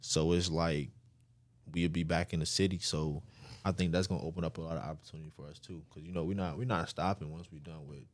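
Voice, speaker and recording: A man's voice: low-pitched, steady tone, fast talker, no echo. A man in his twenties, co-hosting a podcast in a laid-back, slangy way with plenty of fillers and swearing. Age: 20s. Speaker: podcast co-host. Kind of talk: casual podcast chat.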